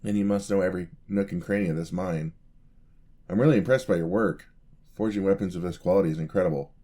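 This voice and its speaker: A man's voice: low-pitched, fairly even intonation, fast talker, mellow. A man playing an eager, charming young apprentice paladin in a fantasy ASMR roleplay, talking to the listener in a polite, easily flustered way. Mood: eager, excited. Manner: polite, flustered, hesitant, chatty.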